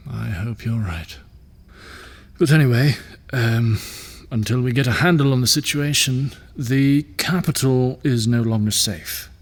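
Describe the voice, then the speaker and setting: A man playing a gentle middle-aged ruler and father in an audio drama, measured, tender and soft-spoken. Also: mid-range voice. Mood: grave, hopeful. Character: gentle fatherly ruler, weary, reassuring widower.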